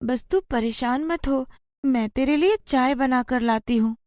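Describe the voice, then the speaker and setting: A woman voicing a sweet young daughter in a story, gentle and consoling. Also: high-pitched, a little slow, no echo, pitched above the performer's own voice. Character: sweet, caring young daughter of a poor mother.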